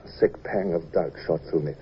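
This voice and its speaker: An actor playing a stern British naval commodore in a radio drama, clipped, formal and authoritative. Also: male voice, deep voice, a little fast, breathy edge. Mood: brooding. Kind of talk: old-time radio drama acting. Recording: dull, noisy old radio recording.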